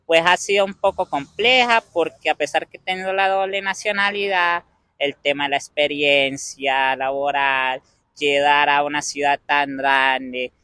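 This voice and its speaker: A young man with an Andean Venezuelan accent answers questions in a measured, thoughtful way. His voice sits a little high and sounds bright and forward. The recording is dry, with no room echo. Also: fairly even intonation, smooth. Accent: Venezuelan Andean Spanish. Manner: measured, pauses to find words. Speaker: interviewee. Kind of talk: conversational interview answers.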